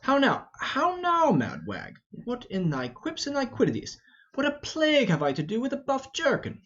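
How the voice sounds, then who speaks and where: Man acting blustering old knight, roguish, mock-indignant, swooping pitch, dull recording. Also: huge pitch swings, moderate pace, a bit bright, full character voice. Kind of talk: amateur Shakespeare play reading.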